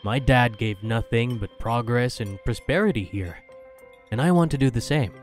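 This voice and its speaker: An actor playing a gentle, reassuring young heir to a ranch in a romantic audio drama, speaking softly with a Filipino accent. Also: male voice, medium pitch, measured pace, close to an everyday voice. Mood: earnest. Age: young adult. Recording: music bed behind voice.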